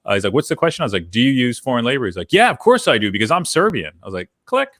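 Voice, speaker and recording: A man's voice: mid-range voice, very animated, racing, fairly bright tone, no room sound. An American man in his thirties chatting casually as a podcast host, telling things in an informal, anecdotal way into a close microphone. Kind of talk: casual chat. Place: indoor room with brick wall. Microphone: close desk microphone on a video call.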